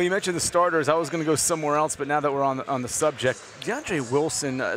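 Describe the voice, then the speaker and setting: Quick-talking middle-aged sports host. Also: male voice, fairly high pitch, a bit bright. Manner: brisk, well-prepared questions. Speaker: interview host. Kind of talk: postgame interview. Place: studio set in a gym, indoors. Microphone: headset mic.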